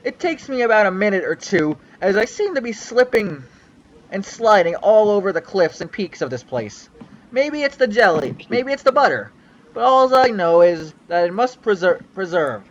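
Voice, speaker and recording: A man's voice: high-pitched, big pitch swings, moderate pace, highs cut off, slight background noise. A young man giving a mock-dramatic reading of a fanfic on a livestream, with a theatrical, sweeping storyteller delivery. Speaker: reader on a livestream.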